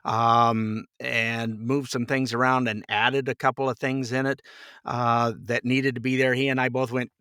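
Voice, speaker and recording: Bright-voiced older American man, folksy. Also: medium pitch, level tone, a little slow, clear, resonant tone, no room sound, clean studio sound. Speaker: podcast guest, voice actor and producer. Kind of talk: casual chat.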